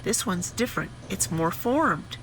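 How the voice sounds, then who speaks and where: A woman's voice: mid-range voice, expressive, a little slow, creaky, background noise. An actress playing a tense, skeptical woman scientist in an audio drama. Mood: hushed dread. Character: tense, sharp female scientist, urgent and skeptical. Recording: loud background ambience under voice.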